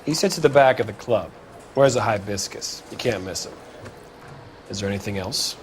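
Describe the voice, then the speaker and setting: Male actor as obliging 1940s barman. Slightly low voice, courteous, plain-spoken, game dialogue over faint background noise. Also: normal speed, lightly acted. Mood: polite. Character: polite, obliging male temporary bartender, 1940s. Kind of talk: video game voice acting.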